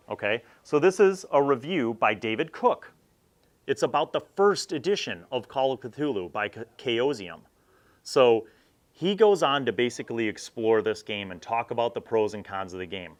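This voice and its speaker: A middle-aged man hosting a casual review, talking in an enthusiastic, emphatic and conversational way. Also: lowish voice. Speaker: host of a tabletop gaming review series.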